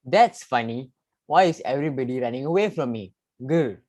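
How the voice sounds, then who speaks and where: Storybook lion king, played by a young student: puzzled, stubborn, growly, mid-pitched. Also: expressive, normal speed, a little mellow, close to an everyday voice. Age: teenager or young adult student. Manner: growling between lines. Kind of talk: readers theater storytelling.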